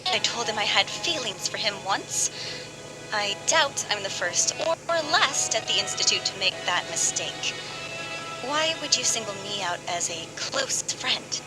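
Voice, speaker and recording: A woman's voice: medium pitch, lively intonation, a little slow, lightly acted, low-quality recording. A woman playing a scornful, defensive young woman in a video game, speaking dramatically. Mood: bitter, scornful. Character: bitter, scornful young woman spurned in love. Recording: game audio under loud music.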